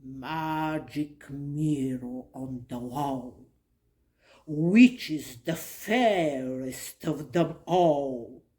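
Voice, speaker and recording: A woman's voice: low-pitched, warm tone, voice pushed down. Woman acting a commanding, cruel evil queen. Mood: vain, demanding. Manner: commanding, slow, emphatic.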